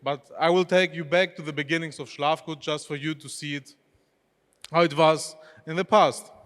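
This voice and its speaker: A man's voice: fairly high pitch, steady tone, normal speed, a bit bright. Greek-native man presenting in a hall. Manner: chatty, questions the audience, non-native phrasing. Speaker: conference speaker, head of e-commerce. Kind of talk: conference presentation. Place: expo hall stage. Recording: long reverb of a large hall.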